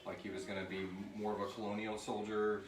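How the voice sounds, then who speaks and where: A man's voice: on the low side, flat delivery, quick pace. A man hosting an informal, jokey live Q&A as a podcast creator, reading questions and chatting through the answers. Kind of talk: casual live Q&A chat. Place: room with group on live stream. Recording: roomy, distant mic, noisy live stream.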